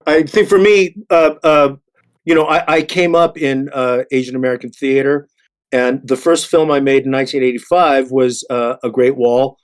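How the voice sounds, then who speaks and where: A middle-aged man, an actor, talks reflectively in a panel discussion, haltingly, often repeating and restarting his words. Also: medium pitch, fairly even intonation.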